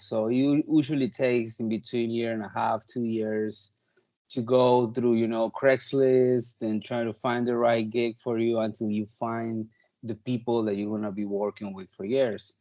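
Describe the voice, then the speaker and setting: A man's voice: medium pitch, darkish tone, lo-fi sound. A man in his thirties from Peru, a native Spanish speaker, chatting casually and talkatively as an interview guest. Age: 30s. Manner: chatty, peppered with "like" and "you know". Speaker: interview guest, touring drummer.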